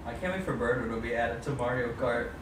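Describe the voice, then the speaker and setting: A man joking around in mock indignation and deadpan tones as a friend on a call. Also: medium pitch, quick pace. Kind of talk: casual chat. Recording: echoey distant call audio with loud noise.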